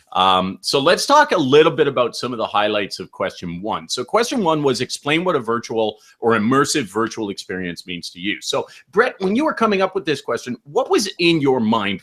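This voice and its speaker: Upbeat male host with a lively voice. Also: medium pitch. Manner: upbeat, enthusiastic. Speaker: host, co-founder of the chat. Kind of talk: casual video chat.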